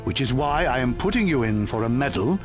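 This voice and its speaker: An actor playing an authoritative military officer in a video game, formal in speech with wry, dry humour. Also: male voice, mid-range voice, big pitch swings, fairly quick, music in the background, lightly acted. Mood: wry, approving. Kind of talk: game cutscene acting.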